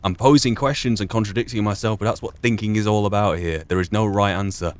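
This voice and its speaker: A man's voice: on the low side, steady tone, fast talker. A man giving reflective, musing commentary as he plays a role-playing game. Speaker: gaming channel host.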